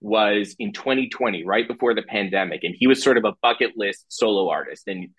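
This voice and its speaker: A man's voice: lowish voice, brisk, a bit bright, breathy edge. A man from Canada hosting an interview, talking as an eager fan who laughs easily. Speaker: podcast host interviewer. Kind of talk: casual interview.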